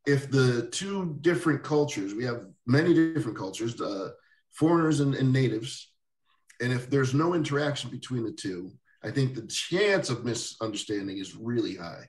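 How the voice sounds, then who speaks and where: Male interview host, easygoing and rambling, mid pitch, recorded off-mic in a roomy space. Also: animated, moderate pace. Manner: rambling, with many restarts and soft laughs. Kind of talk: casual interview chat. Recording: roomy echo, distant mic.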